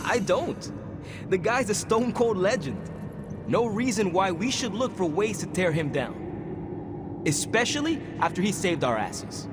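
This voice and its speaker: A man's voice: high-pitched, big pitch swings, measured pace, noisy background. A young man playing a brash, earnest superhero in a video game, talking in a casual, slangy way. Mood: admiring. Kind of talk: conversational video game acting. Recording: voice under clear background music.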